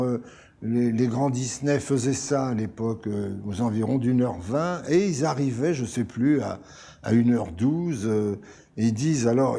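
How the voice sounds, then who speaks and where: A man's voice: medium pitch, warm tone. An older man telling anecdotes in an interview about his work in animation. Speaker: animation writer recalling productions.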